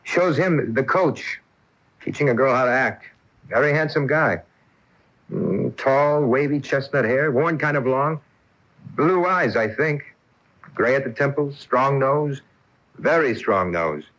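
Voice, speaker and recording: An actor playing a practical, affectionate, skeptical boyfriend in a radio mystery, casual and emphatic. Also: male voice, mid-range voice, a little slow, long pauses, a bit bright, close to an everyday voice. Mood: breezy. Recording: dull off-air AM radio recording.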